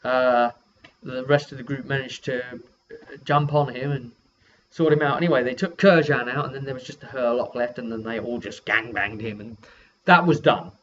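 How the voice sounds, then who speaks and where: Man rambling, slightly low-fi recording. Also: moderate pace, fairly bright tone. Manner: rambling, thinking aloud. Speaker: tabletop game master. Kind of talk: casual vlog recap of a role-playing session. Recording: dull lo-fi sound with background noise.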